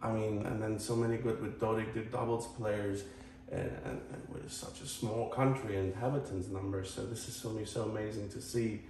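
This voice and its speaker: A man from Croatia with a Croatian accent, talking casually to viewers as a tennis channel host in a halting, earnest, rambling way. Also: on the low side, fairly even intonation, darkish tone. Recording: echoey, distant mic, faint noise.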